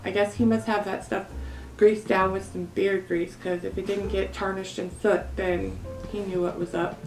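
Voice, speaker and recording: A woman's voice: mid-range voice, flat delivery, moderate pace. Sassy woman in a roomy recording. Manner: sassy, colloquial, mock-scolding. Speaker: performer in a dramatic poem reading. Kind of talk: poem recital with comic ad-libbed commentary. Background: jazzy Christmas music.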